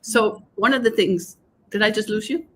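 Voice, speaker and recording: A woman's voice: mid-range voice, moderate pace. A woman from Denmark with a Danish accent, a guest author on a live video call, explaining her ideas earnestly and often checking in with "right?". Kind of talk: online live conversation.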